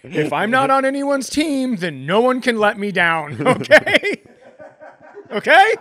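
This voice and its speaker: A man's voice: extremely high pitch, sing-song, a little slow, fairly bright tone. A man co-hosting a comedy podcast, riffing in casual banter and teasing with mock-serious questions.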